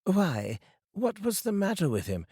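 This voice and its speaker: A man voicing a gossipy red-faced gentleman in a storybook reading, high and prying. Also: huge pitch swings, a little slow, husky, no echo, studio quality, full character voice. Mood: curious.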